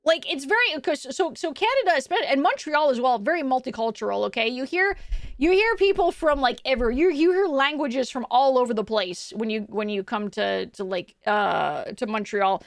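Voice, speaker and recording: A young woman with a high voice, chatting casually with her stream chat in a rambling way, stumbling over her words and laughing often. She is close to the mic and her voice sounds dry, with no room around it. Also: animated, moderate pace, no echo.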